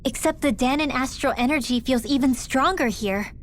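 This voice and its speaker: An actress playing a sharp-tongued young woman fighter who speaks in a dry, commanding way. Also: high voice, normal speed, a little hiss or hum, natural voice. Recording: game audio with music behind.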